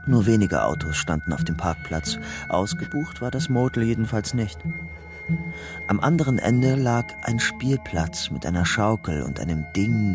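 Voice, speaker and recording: An actor playing a young ex-convict father-to-be in a radio crime drama, terse and hard-boiled, partly narrating his own story. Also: fairly low pitch.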